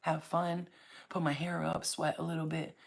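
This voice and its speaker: Low husky New woman, blunt. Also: little pitch movement, moderate pace. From Staten Island, New York. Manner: blunt, profane, preachy, streetwise. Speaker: spiritual reader and channel host. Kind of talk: casual talk to camera.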